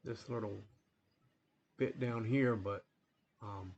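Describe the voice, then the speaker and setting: Low, flat-voiced man, sniffly. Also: slow, lo-fi sound, a little hiss or hum. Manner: rambling, relaxed, many fillers and restarts. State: sniffly, cold coming on. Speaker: gaming channel host. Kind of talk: casual gameplay commentary.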